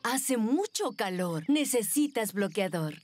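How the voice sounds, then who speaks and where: A woman's voice: a little high, sing-song, slow pace, music in the background. A woman playing a kindly, practical, cheerful mother pig in a children's cartoon. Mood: cheerful, caring.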